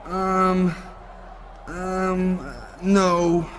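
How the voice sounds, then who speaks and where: An actor playing a childlike, slow-witted, gullible wrestler in a video game, with halting, stammering speech over heavy noise. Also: male voice, high voice, monotone, fairly bright tone, full character voice. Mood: timid, unsure. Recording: noisy game cutscene audio.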